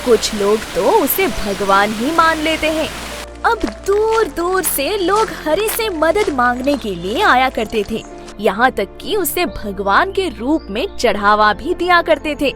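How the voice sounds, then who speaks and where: A woman's voice: sits high, very animated, slow. A woman narrating a scripted children's story as its storyteller. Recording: loud music under the voice.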